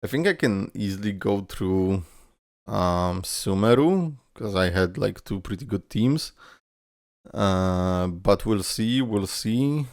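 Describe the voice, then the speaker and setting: A man's voice: lowish voice, animated, slow, a little mellow, no echo, studio quality. A man giving casual, informal reaction commentary as a YouTuber, thinking aloud and swearing mildly. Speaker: YouTuber, streamer.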